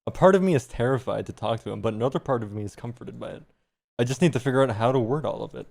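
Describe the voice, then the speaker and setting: A man's voice: medium pitch, very fast, creaky, a little mellow. A man streaming, reading fan fiction aloud with some embarrassed amusement.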